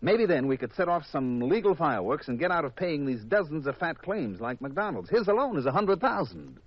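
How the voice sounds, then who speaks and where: A man's voice: a little high, sing-song, fairly quick, husky. An actor playing a shrewd, cynical insurance company man, businesslike and emphatic, in 1950s radio drama style. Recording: dull vintage radio recording.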